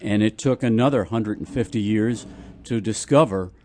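An older man talking casually as a radio host, explaining things in a deliberate way. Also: on the low side, a little mellow, a little hiss or hum.

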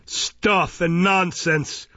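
Man voicing a bullying, gravelly, pompous magistrate. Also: a little high, animated, moderate pace, sharp tone, dry recording, muffled recording, voice pushed up, exaggerated voice. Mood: irritated, dismissive. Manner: pompous, barking, contemptuous. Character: bullying, indignant middle-aged male magistrate, pompous and harsh. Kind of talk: audiobook character voice, Victorian novel.

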